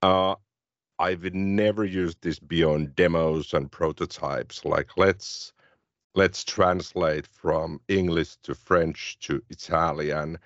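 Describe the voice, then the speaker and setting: A man whose first language is Finnish, co-hosting a podcast and explaining cloud services in a relaxed, chatty way. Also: deep voice, slow pace, no room sound, highs cut off. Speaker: podcast co-host. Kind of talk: casual tech chat.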